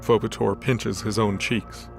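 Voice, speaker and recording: A man's voice: on the low side, level tone, measured pace, vocal fry. A man reading scripted story narration as the narrator of an audio drama. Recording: soft background music bed.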